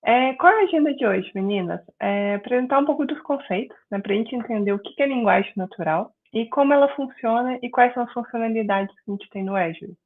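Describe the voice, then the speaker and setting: Mineira woman teaching over a tinny call. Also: medium pitch. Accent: Mineiro Brazilian Portuguese. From Minas Gerais, Brazil. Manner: friendly, explanatory, frequent "né" and "é" fillers. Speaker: guest instructor. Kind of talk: online class lecture. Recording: tinny phone-like online call audio.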